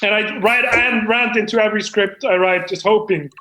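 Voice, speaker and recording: Man playing a high-voiced earnest amateur screenwriter. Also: fairly even intonation, bright, forceful tone, reverberant, poor audio. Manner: halting, rambling, self-justifying. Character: earnest, defensive amateur screenwriter presenting his own script. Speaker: performer on a comedy podcast. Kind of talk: improvised comedy table read.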